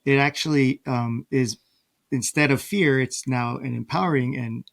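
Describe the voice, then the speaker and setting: A man's voice: medium pitch, moderate pace, gravelly, no echo. A man hosting a podcast, speaking in a casual conversational way with an appreciative, complimentary manner. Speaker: podcast host.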